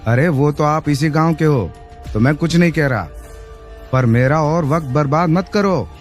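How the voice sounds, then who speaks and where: A man's voice: fairly high pitch, moderate pace, soft background music, close to an everyday voice. A man voicing an irritated, dismissive bus conductor in a cartoon, mocking and exasperated. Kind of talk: cartoon voice acting.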